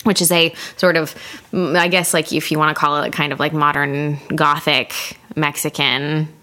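A woman's voice: deep voice, little pitch movement, pushed and bright. A woman co-hosting a podcast, chatting casually about books in an informal, joking way. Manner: chatty and informal, quick to joke. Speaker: podcast co-host. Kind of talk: casual book discussion chat.

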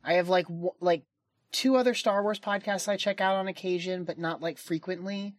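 A woman's voice: fairly low pitch, steady tone, moderate pace, dry recording, studio quality. A woman co-hosting a podcast in casual chat, rambling with lots of "like"s and laughing often. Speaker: podcast co-host and editor. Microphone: home podcast mic.

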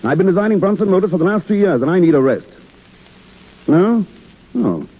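An actor playing an assertive but polite, wry young engineer in a 1930s radio play. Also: male voice, high-pitched, expressive, fairly quick, breathy edge, heavily acted. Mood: indignant, determined. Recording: old 1930s radio broadcast, narrow muffled band.

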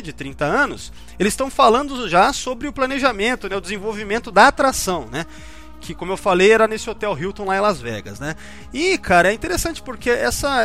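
A man's voice: high voice, a bit bright. A man co-hosting a podcast, chatting casually and rambling with many restarts and fillers. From Brazil. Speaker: podcast co-host.

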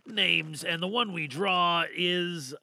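A man's voice: sits high, slow, gravelly, pushed and bright, no room sound, clean studio sound. A tired man hosting a podcast in a casual, rambling, self-deprecating and joking way. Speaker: podcast host.

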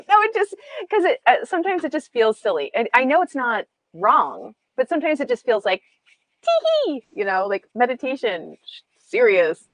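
Woman, giggly rambling chat, lively pitch, dry close sound. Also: moderate pace, no room sound. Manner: giggly, rambling, animated storyteller. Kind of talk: casual chat about meditation.

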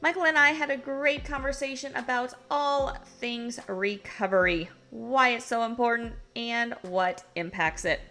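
A woman's voice: on the high side, measured pace, few pauses, pushed and bright, soft background music. A woman hosting a podcast, opening the episode and interviewing a guest in an upbeat, eager way. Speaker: podcast host. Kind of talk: podcast interview, scripted intro.

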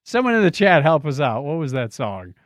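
A man's voice: on the high side, big pitch swings, fairly quick, no room sound, studio quality. A man co-hosting a podcast in casual chat, with a wry, joking way of putting things. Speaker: podcast co-host. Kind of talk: casual chat about shows, films and music.

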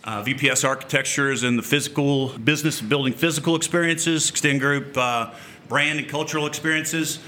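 Bright-toned male podcast host. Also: on the high side, a little hiss or hum. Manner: relaxed, halting, many fillers. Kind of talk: casual interview hosting. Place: office.

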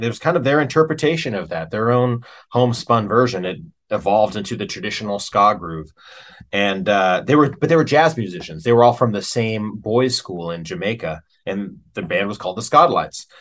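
A middle-aged American man talking casually as an interview guest, telling stories like a working musician, with pauses and emphasis on key words. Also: on the low side, lively intonation, fairly bright tone. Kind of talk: casual conversational storytelling.

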